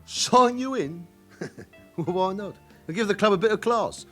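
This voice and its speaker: An actor playing a slyly genial London club owner with criminal ties in a radio drama, teasing and ingratiating. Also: male voice, high-pitched, unhurried, bright, forceful tone, soft background music, heavily acted. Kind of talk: naturalistic radio drama acting.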